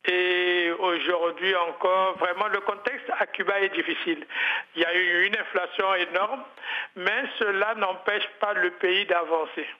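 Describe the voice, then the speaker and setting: A lo-fi, muffled call line carries a man's high voice. He answers radio interview questions earnestly, in measured phrases. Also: steady tone. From Congo-Brazzaville. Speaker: interview guest speaking from Havana. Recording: dull lo-fi remote call line.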